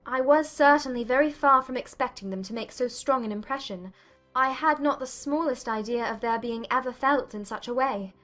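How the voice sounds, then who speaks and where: Period romance audiobook: female actor as a frank, well-mannered young gentlewoman, lightly high-pitched, formal and heartfelt. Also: normal speed, soft background music. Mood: regretful. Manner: polite, sincere, formal period phrasing. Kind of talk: dramatized audiobook acting of a classic romance.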